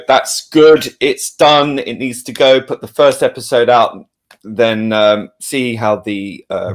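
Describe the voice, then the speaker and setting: A man chatting casually as a guest editor on a podcast, informal and self-deprecating. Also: medium pitch. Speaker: guest, audio-visual producer and editor. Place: remote online video call.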